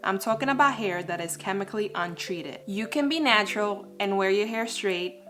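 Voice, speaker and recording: A woman who grew up in the Dominican Republic, giving a calm, scripted explainer on hair care. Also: medium pitch, normal speed, a little hiss or hum.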